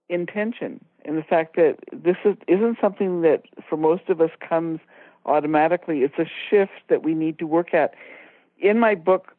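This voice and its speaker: A woman co-hosting a radio talk show, explaining her points in a measured, orderly way. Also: low voice, dry recording. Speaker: co-host and author. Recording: dry tinny phone line.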